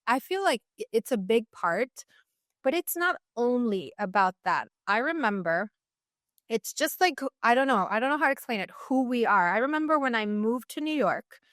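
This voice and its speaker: A woman's voice: a little high, animated, normal speed, a bit bright, no room sound, clean studio sound. Earnest young woman from Mexico. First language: Spanish. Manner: earnest, many "like" fillers and restarts. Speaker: guest dietitian. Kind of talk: casual interview talk.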